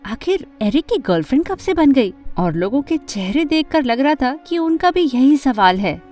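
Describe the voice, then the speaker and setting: High-voiced, expressive teenage girl storyteller. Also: moderate pace, soft background music. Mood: wistful narrating. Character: teenage girl fashion designer, earnest, emotional, lovestruck. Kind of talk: first-person animated story narration and dialogue.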